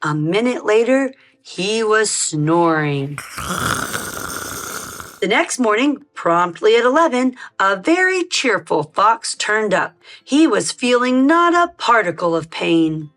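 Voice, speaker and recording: A woman narrating a children's storybook as a read-aloud host, in an expressive, measured style. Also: mid-range voice.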